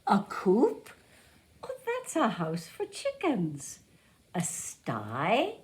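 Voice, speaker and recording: Lady teacher doing a kids storytime, super slow, voice swings up and down a lot. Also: medium pitch, huge pitch swings. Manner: simple explanatory asides and repeated words for young children. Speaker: teacher reading aloud. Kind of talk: children's picture book read-aloud.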